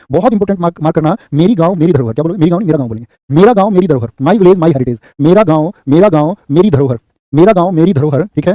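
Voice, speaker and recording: Male coach, high voice, very fast repeating quiz delivery. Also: warm tone, no room sound, muffled recording. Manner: rapid, repeats answers and asks "what will you say?". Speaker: exam coaching teacher. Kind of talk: live online class, quizzing students.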